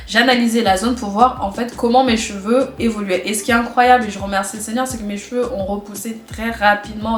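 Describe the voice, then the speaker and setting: A young woman with a stuffy cold chats straight to camera and repeats her phrases for emphasis. Her voice sits at an ordinary pitch. The room is echoey, and faint music plays behind her. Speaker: vlogger. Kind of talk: casual talk to camera.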